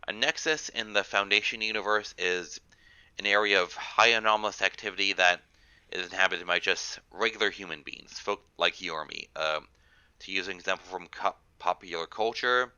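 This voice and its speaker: A man with a low-pitched, very bright and forceful voice, stuffed up by a cold. He speaks as a guest author, reading aloud and explaining casually. The audio is dry and somewhat lo-fi. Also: clear, resonant tone, no room sound. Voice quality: congested, nasal. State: congested with a cold.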